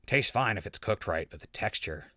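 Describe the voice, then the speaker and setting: A wry wasteland survivor, played by a man, speaks in a low, forceful voice. He is casual and a little skeptical, in a dry audio drama recording. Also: animated, moderate pace, no room sound. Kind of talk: post-apocalyptic audio drama acting.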